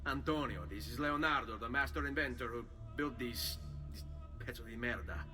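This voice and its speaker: An actor playing a cocky, determined young assassin with an Italian accent in a video game. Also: male voice, medium pitch, normal speed, recorded from a distance, close to an everyday voice. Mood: dry, skeptical. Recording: reverberant game audio, music underneath. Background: game music.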